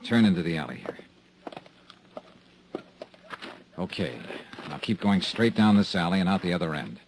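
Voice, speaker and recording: A man's voice: deep voice, animated, fast, poor audio. An actor playing a tough, sarcastic male insurance investigator in a 1950s radio drama, also narrating in first person. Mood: brisk. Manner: hard-boiled, sarcastic. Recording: faint hiss of old radio transcription.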